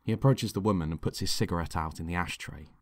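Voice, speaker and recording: A man with a deep voice narrates a scripted story. The sound is dry, with hardly any room on it, and the delivery is that of dramatic present-tense prose read aloud. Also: fast, no room sound. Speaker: writer and narrator.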